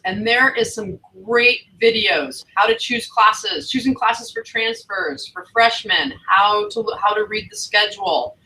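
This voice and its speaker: A woman's voice: fairly high pitch, pushed and bright, recorded from a distance, poor audio. A woman academic advisor talking casually to new students on a livestream, chatty and encouraging. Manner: chatty, encouraging, loose phrasing.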